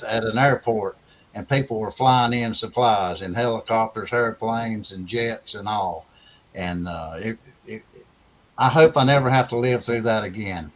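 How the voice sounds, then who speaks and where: A man's voice: medium pitch, fairly bright tone, rough recording. An earnest, plainspoken man recalling experiences casually in a livestream chat. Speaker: amateur radio operator, livestream guest.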